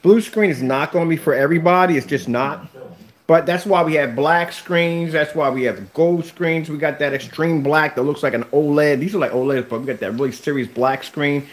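A man's voice: a little high, moderate pace. Philly guy in his 50s rambling to his viewers from his home theater room, off camera. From Philadelphia. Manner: rambling, repeats himself, talks straight to viewers. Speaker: screen paint vlogger. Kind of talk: casual chat to viewers while livestreaming.

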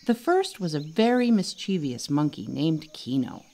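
Woman reading a kids book, slightly low voice, super swoopy dramatic storytelling. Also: very animated, moderate pace, dark tone. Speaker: author reading own storybook. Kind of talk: children's story narration.

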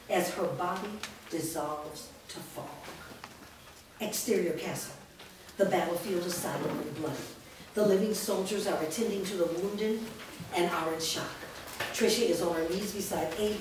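A woman reading a screenplay's stage directions aloud in a measured, descriptive way at a staged reading, recorded in a large studio from a distance. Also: on the low side, background noise. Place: large indoor rehearsal studio. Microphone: far camera mic. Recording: echoey studio from far off.

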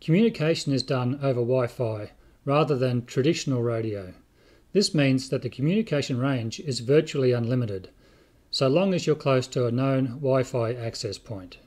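A man in his fifties presents a scripted explainer. His voice sits at a medium pitch, and he reads as a host talking to his viewers. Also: moderate pace, a little mellow. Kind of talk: scripted narration to camera. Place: indoor room with bookshelves.

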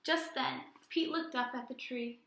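A young woman reading a picture book aloud like a teacher, animated and asking children simple questions. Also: sits high, a little slow, gravelly, echoey room. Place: small indoor room with plain wall.

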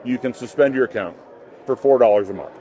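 A man's voice: mid-range voice, quick pace, mellow. A man talking casually as a company representative explaining a product at a trade booth. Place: trade show booth with fabric backdrop.

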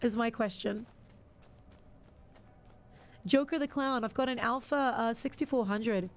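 A woman's voice: a little high, a little slow. A young woman streaming a game, chatting casually with viewers in a slightly scratchy voice, often stumbling and restarting her sentences. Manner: chatty, stammering restarts, reacting aloud. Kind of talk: casual live-stream chat while gaming.

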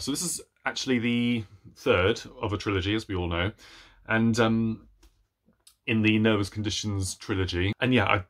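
A man's voice: on the low side, fairly bright tone. A young adult man chatting casually to camera as a book reviewer, in a hesitant, self-deprecating way. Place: small room at home, indoors.

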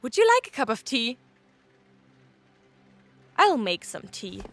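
A woman playing an eager, nosy character in an audio drama, blurting and rambling excitedly. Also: on the high side, slow pace, heavily acted. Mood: excited, urgent.

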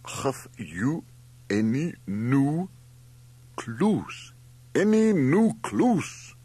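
An officious, angry customs officer in a storybook. He has a foreign accent and speaks slowly and ominously, with a raised, forceful pitch. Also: very animated, breathy edge, no room sound, exaggerated voice. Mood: impatient. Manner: ominous, emphatic, drawn-out words.

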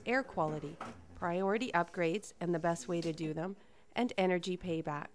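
A Canadian woman in her thirties presenting a home energy television segment, with clear, measured scripted narration. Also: lowish voice, a crowd in the background. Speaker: TV host.

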